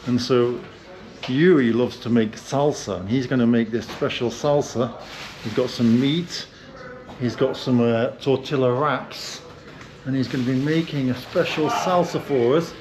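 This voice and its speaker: A man's voice: mid-range voice, fairly even intonation, a little slow, a little mellow, slight background noise. Chatty British man on a walkabout. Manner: chatty, loose, with frequent fillers. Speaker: vlog host. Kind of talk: casual walking-tour vlog. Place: indoors, hotel and sauna cellar.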